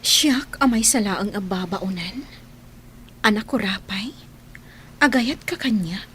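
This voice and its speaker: A woman playing an earnest, soft-voiced young housemaid who dreams of finishing her studies, in an Ilocano radio drama. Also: mid-range voice, animated, slow pace, a little hiss or hum. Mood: shy doubt. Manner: earnest, pleading.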